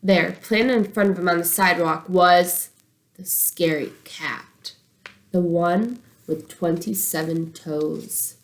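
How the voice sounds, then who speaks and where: A woman reading a children's chapter book aloud as an expressive narrator. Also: lowish voice, slow. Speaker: storybook reader.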